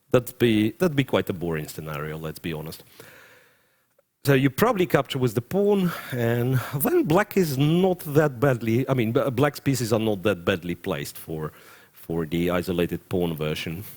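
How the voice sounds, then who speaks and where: A man's voice: fairly low pitch, big pitch swings, measured pace, darkish tone. A young adult man with a Ukrainian accent, giving live commentary on a chess game, thinking aloud and often stopping to correct himself. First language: Russian.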